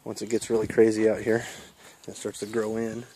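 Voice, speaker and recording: A man's voice: lowish voice, brisk, a little hiss or hum. A man giving a casual, rambling walk-through of his backyard garden, talking into a handheld camera as he walks. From Iowa. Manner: relaxed, rambling, many fillers. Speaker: permaculture vlogger. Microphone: handheld camera mic while walking.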